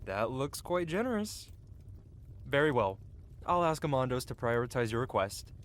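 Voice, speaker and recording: A man's voice: mid-range voice, sing-song, normal speed, lightly acted. A man playing a cocky, flirtatious medieval blacksmith in a fantasy ASMR roleplay, with a playful, teasing and theatrical way of talking. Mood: sly, businesslike.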